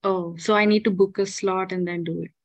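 A woman's voice: mid-range voice, fairly even intonation, a little fast, dry recording. Woman, mid-range voice, caller asking questions, dry sound, lo-fi line. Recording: dull, dry call audio.

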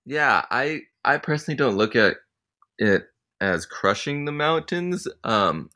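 Young male interview guest, very deep voice, easygoing and reflective delivery. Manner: relaxed, thoughtful, many ums. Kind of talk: casual interview answers.

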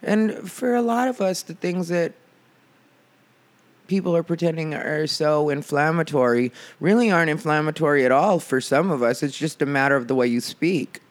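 A nasal man hosting a podcast, his voice sitting a little higher than most men's. He talks in a loose, chatty way, laughs often and acts out other people's words as he tells a story. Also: normal speed. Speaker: podcast host. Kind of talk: casual chat.

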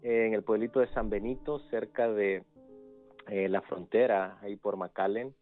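A man in his thirties with a low voice, talking as a guest in a casual interview. He repeats words as he searches for phrasing. The sound is muffled and narrow, with faint music underneath. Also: fairly even intonation, moderate pace. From El Salvador. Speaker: interview guest, youth ministries pastor. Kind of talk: casual spoken testimony.

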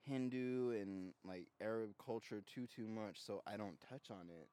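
Male co-host, slightly deep voice, easygoing slangy delivery, casual comic banter. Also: unhurried, mellow, no room sound. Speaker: podcast co-host.

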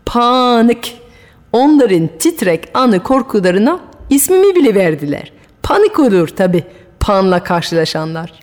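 A woman's voice: mid-range voice, sing-song, a little slow. A woman voicing Pan, a boastful, lonely, mischievous male goat god, teasing and laughing at his own pranks in a storytelling radio monologue. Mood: mischievous, relaxed. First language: French. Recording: music bed with long hall reverb.

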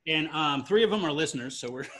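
A man's voice: a little high, lively intonation, moderate pace, bright, forceful tone. A man hosting a casual podcast, teasing his guest with crude banter. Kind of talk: casual chat.

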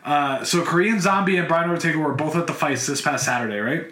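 A man's voice: on the high side, brisk, fairly bright tone, reverberant. A man co-hosting a casual podcast, swearing freely and working himself up into fired-up rants. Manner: profane, fired-up ranting. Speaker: podcast co-host.

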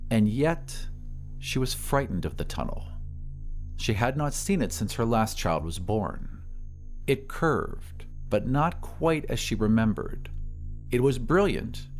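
A man narrates an audio story at a medium pitch, with faint music underneath. His reading is deliberate and expressive, phrased like dramatic literary narration.

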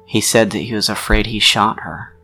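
A man playing a troubled, protective friend of a missing woman in a role-play drama, speaking in a halting, deliberate, sincere way with a gravelly voice. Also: lowish voice, fairly even intonation, bright, forceful tone, poor audio. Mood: uneasy, recounting. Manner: halting, deliberate, pausing mid-sentence. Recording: music under the voice.

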